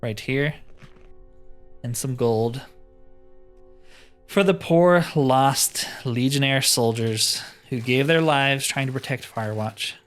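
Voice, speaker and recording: A man's voice: medium pitch, takes it slowly, long pauses. Casual man commentating over game music. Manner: thinking aloud in short casual remarks. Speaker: gaming host.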